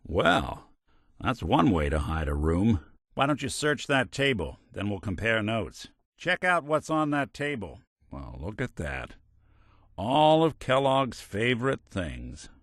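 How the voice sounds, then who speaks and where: A man's voice: on the low side, fairly bright tone, no echo, full character voice. A man voicing a wry, world-weary old synthetic detective in a video game, with a hard-boiled American drawl and dry sarcasm. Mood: dry, observant. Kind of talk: video game character acting, noir detective.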